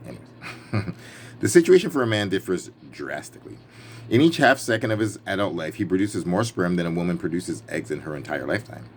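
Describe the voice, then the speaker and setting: A man with a very low-pitched voice reads from a book with emphasis and a playful streak. He slips between the written text and casual, wry commentary. Clear background noise runs through the recording. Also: expressive, a little fast. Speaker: reader and commentator. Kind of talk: book reading with casual ad-lib asides. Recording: clearly noisy background ambience.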